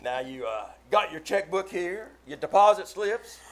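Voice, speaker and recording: A man performs an older judge from a vintage radio comedy. His voice sits high for a man, and he talks in a dry, precise, fussy way with a wry playful streak. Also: lively intonation, a little slow. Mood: businesslike. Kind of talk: old-time radio comedy acting. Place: live performance on a theater stage.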